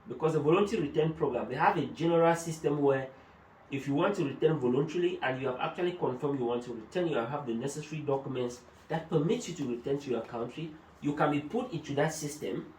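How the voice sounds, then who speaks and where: Man, slightly high voice, adviser explaining procedures, roomy echo, distant mic. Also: quick pace, darkish tone, slight background noise. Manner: explains procedures step by step, uses German terms. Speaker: migration adviser. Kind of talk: explanatory talk-show discussion. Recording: echoey room, mic at a distance.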